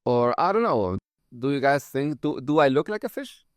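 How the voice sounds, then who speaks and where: A man's voice: medium pitch, sing-song, normal speed, dry recording, studio quality. A man with a German and Spanish accent, born in Germany and raised in Chile, hosting his channel with wry, conversational commentary. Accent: mix of German and Spanish. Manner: wry, conversational, occasional chuckles. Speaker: channel host. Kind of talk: casual commentary reading comments.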